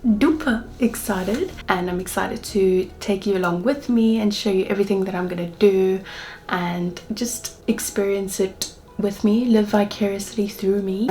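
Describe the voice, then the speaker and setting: A woman's voice: mid-range voice, background noise. A woman in her twenties with a South African accent, talking candidly and chattily to camera in a personal travel vlog. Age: 20s. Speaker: travel vlogger.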